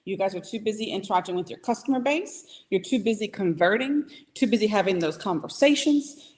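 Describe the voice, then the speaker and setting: Emphatic woman business coach. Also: mid-range voice. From Puerto Rican heritage, from the US Northeast. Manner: emphatic, repeats for stress, coaching tone. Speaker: business consultant. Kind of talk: casual podcast chat.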